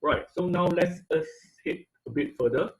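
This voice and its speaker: A man's voice: high voice, normal speed, darkish tone. A man teaching an online robotics class over a video call, explaining step by step with many fillers and restarts. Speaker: instructor. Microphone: computer mic on video call.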